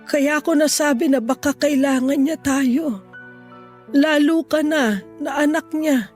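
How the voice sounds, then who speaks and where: A frail, forgiving grandmother in a radio drama. She speaks slowly in a high voice, tender and sorrowful, with music underneath. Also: a little mellow. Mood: gently pleading. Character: sick, weary, tender grandmother near sixty, forgiving. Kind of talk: Tagalog radio drama acting.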